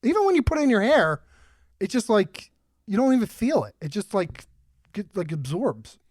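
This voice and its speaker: Higher-voiced man chatting casually. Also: sing-song, normal speed, darkish tone, no echo, studio quality. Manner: joking, blunt, swears casually. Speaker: podcast host. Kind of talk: casual chat.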